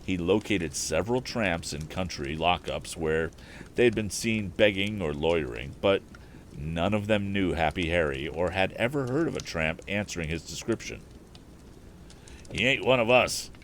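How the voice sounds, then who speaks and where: A man reads a story aloud as a live storytelling host. His low voice moves expressively up and down in deliberate phrases, with faint noise in the background. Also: lively intonation. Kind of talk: live audiobook reading, scripted narration.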